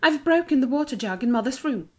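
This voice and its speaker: A woman's voice: high-pitched, expressive, fast talker, darkish tone, slightly rough, voice pushed up. A woman voicing an earnest, eager young girl who speaks politely and coaxingly in a children's storybook reading. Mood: earnest pleading. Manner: polite, coaxing, well-spoken.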